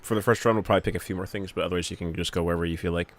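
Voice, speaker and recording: A man's voice: sits low, fairly even intonation, racing. A man hosting a casual livestream who greets viewers and thinks aloud while setting things up on a home computer mic. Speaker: streamer host.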